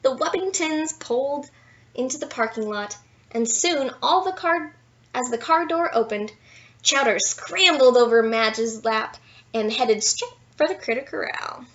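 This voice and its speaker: A woman reading a children's picture book aloud in an expressive, playful storytelling style with dramatic emphasis. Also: high-pitched, measured pace, dull audio. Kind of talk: animated bedtime story read-aloud with asides.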